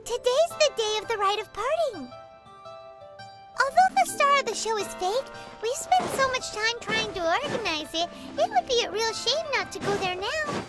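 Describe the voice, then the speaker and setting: Little childlike fairy, extremely high squeaky voice, animated, eager, earnest, video game sidekick. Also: female voice, normal speed, soft background music. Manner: speaks of herself in third person, eager. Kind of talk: video game cutscene voice acting.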